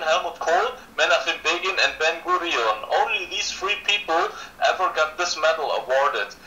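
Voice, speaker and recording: A man talks in a bright, slightly high voice. He rambles along with frequent fillers and restarts. The room is echoey, with a faint hiss underneath. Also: lo-fi sound. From Indonesia. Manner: rambling, hesitant with many fillers. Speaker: conversation partner. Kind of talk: casual conversation.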